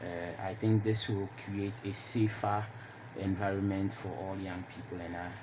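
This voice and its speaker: A young man with a Ghanaian accent speaking haltingly as a panelist in a radio discussion. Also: low-pitched, flat delivery, noisy background. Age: young adult. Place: radio studio.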